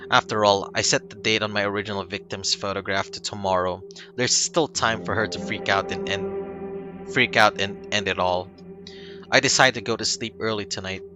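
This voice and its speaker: A man streaming a game, reading its first-person narration aloud in a calm, unhurried way with small slips and restarts. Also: fairly low pitch. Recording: loud music behind the voice. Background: loud music.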